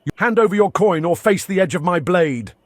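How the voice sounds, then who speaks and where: A man's voice: sits high, animated, a little fast, dry recording. A man voicing a menacing, commanding guard who threatens strangers in scripted fantasy video game dialogue. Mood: stern, threatening.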